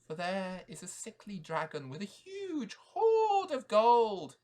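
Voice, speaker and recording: A man plays a sly little goblin from a storybook, with the pitch lifted. The creature is coaxing and persuasive, out to tempt knights away from their duty. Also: wildly swooping pitch, slow pace, darkish tone, exaggerated voice.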